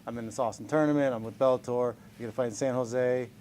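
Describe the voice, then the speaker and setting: A man's voice: mid-range voice, fairly even intonation, quick pace, a little mellow, a little hiss or hum. Upbeat chuckling man in his forties. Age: 40s. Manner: upbeat, chuckling, self-deprecating. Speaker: professional fighter being interviewed. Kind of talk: casual press scrum answers.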